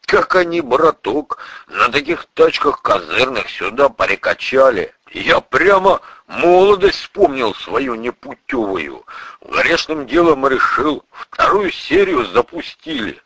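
A man voicing a burly bearded priest with a criminal past, folksy, gruff and wry, in an audiobook story. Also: mid-range voice, wildly swooping pitch, slow, pushed and bright, dry recording, voice pushed up, heavily acted, poor audio. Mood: folksy, musing. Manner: colloquial, slangy, teasing.

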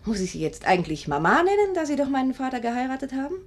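A woman's voice: high voice, big pitch swings, very fast. A woman playing a haughty, spiteful forty-year-old stepdaughter in a 1950s radio play, sneering and biting. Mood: spiteful, accusing.

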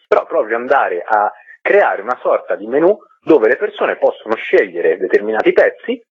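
A man's voice: fairly low pitch, breathy edge. A man giving conversational, explanatory interview answers as a guest actor, repeating words for emphasis, over a telephone line. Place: phone call into a radio programme. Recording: tinny, narrow-band telephone line.

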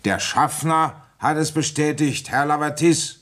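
A man's voice: fairly high pitch, fairly even intonation, unhurried, fairly bright tone. An actor in a radio drama playing a fatherly village police inspector in his fifties, weary with a cold and coaxing in manner. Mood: coaxing, insistent. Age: 50s. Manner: coaxing, paternal, pressing. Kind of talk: radio drama dialogue and narration.

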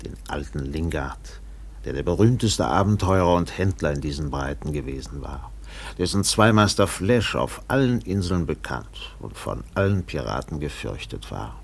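A weathered sea captain tells his tale in a low, slightly husky voice. He is measured and deliberate, yet his delivery rises and falls widely, in the manner of old radio drama. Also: expressive, heavily acted. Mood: brooding, wistful. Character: weathered sea captain recalling a doomed trader in Borneo. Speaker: narrator. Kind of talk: dramatic German radio play narration.

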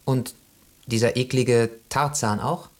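A man's voice: medium pitch, steady tone, slow, natural voice. An actor playing a naive, pampered male cat in a radio play, speaking in an earnest, slightly stiff way. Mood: puzzled, naive. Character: naive, earnest, pampered tomcat newly in love. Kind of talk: German radio play acting.

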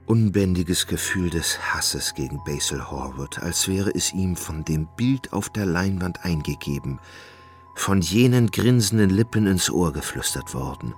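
A man narrating a literary radio play in a deliberate, suspenseful, dramatic style. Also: low-pitched. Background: music.

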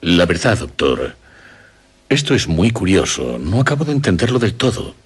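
A tender, worried father in middle age. His voice is low and husky, and he speaks gently, feeling his way through each phrase. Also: big pitch swings, moderate pace, exaggerated voice. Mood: concerned. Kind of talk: radio drama acting.

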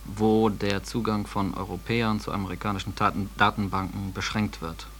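A man's voice: fairly low pitch, level tone, moderate pace, fairly bright tone. A man working as a radio interviewer, putting probing, challenging questions in a journalistic style. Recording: noisy digitized 1980s cassette.

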